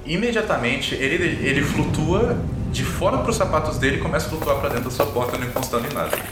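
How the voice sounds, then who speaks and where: A man's voice: on the high side, expressive, fast. A man with a Brazilian accent narrating a tabletop game as game master. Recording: roomy echo, music underneath.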